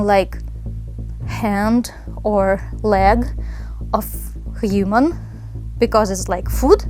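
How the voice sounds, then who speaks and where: Woman, impassioned, rhetorical questions, higher voice, faint music behind. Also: darkish tone. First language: Ukrainian. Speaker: interviewee. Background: faint background music.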